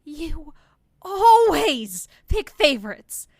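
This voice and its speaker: A woman's voice: high voice, sing-song, drawn-out words, a little mellow, no echo, studio quality, full character voice. A woman playing a resentful, defensive young guard in a fantasy audio drama. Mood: bitter, hurt.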